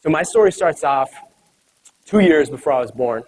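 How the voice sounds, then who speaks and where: A man's voice: fairly high pitch, expressive, normal speed, a little mellow, rough recording. Casual young man speaking to an audience. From United States. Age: 20s. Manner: casual, conversational, many fillers and "you know". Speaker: speaker at an event. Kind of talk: motivational talk to a live audience.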